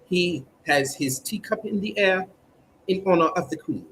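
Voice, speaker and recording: A man's voice: sits high, lively intonation, fairly bright tone, poor audio. Guy hamming it up as a fake newsreader, stiff formal delivery, stretches words out, bit of hum in the background. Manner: formal, stilted newsreader diction with drawn-out words. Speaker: mock news presenter on a livestream. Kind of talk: comedic mock newscast.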